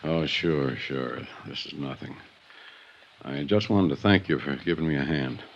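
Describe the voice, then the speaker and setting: A very deep American male voice plays the stoic, wounded hard case of an old radio drama. He is laconic and stubborn, heard through a dull vintage recording. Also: unhurried, creaky, heavily acted. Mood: wry, weakened, stubborn. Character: wounded, stubborn, tough man making light of it. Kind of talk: 1950s radio acting.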